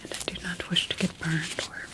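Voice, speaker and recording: A woman voicing a methodical scientist in an ASMR sci-fi medical roleplay, speaking in a measured, formal and gently instructing way. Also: lowish voice, flat delivery, natural voice. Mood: clinical, calm. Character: clinical, methodical female scientist examining her bioengineered monster creation.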